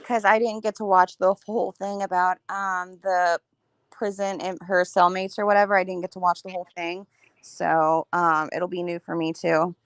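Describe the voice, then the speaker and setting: A woman co-hosting a casual livestream chat, her voice a little raspy, talking easily with fillers. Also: fairly low pitch, flat delivery, moderate pace. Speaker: co-host.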